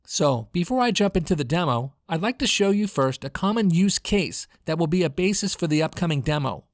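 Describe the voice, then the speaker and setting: Male voice-over, General American, slightly high register, clearly enunciated tutorial narration, very dry home-studio recording. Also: expressive, fairly quick, no echo. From United States. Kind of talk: scripted product demo narration.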